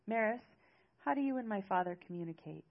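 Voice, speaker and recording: A woman's voice: medium pitch, lively intonation, a little fast, phone-quality sound, voice pushed up, close to an everyday voice. Snippy, headstrong young woman, voiced by a female narrator. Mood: pressing. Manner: snippy, challenging.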